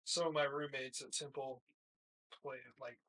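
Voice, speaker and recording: Bright-voiced young man in casual interview. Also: mid-range voice, steady tone, measured pace, rough recording. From hill country, United States. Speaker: college baseball player, interview guest. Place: small plain room, indoors, seated.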